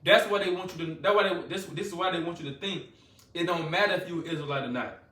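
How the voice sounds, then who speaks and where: Man, preacher, fervent, repetitive, bright edgy voice, distant mic. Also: high-pitched, quick pace. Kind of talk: scripture-reading sermon. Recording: echoey room, voice from a distance.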